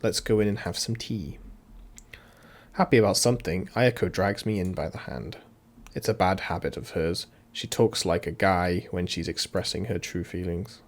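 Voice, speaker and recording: A man's voice: low voice, moderate pace. A man streaming a visual novel, reading the translated lines aloud with playful, jokey asides. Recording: voice mixed with loud game music. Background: loud music.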